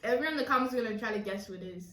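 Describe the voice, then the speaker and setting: A young woman vlogger chatting casually and retelling stories in an animated way, quoting who said what. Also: a little high, very fast, crowd noise. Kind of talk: casual storytelling chat during a mukbang. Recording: roomy echo, distant mic.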